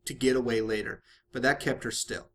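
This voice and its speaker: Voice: medium pitch, fairly even intonation, fairly quick, a little hiss or hum. An amateur reader narrating a story aloud in the manner of a home-made audiobook. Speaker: fan fiction reader.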